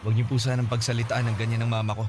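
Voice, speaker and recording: A man's voice: mid-range voice, flat delivery, very fast, music in the background, close to an everyday voice. A man acting a respectful young nephew in a radio drama, politely but firmly reproaching his elders. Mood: firm, upset.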